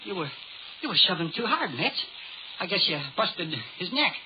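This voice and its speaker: An actor playing an impatient, nervy American crook, a slangy and complaining sidekick in a 1930s radio gangster drama. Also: male voice, high voice, sing-song, moderate pace. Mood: uneasy. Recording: muffled, noisy 1930s radio recording.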